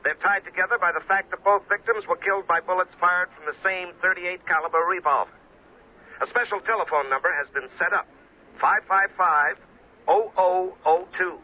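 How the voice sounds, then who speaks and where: An actor playing a formal, deliberate newsreader giving a bulletin within an old-time radio drama. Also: male voice, sits high. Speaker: news announcer. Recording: muffled old radio recording, faint noise.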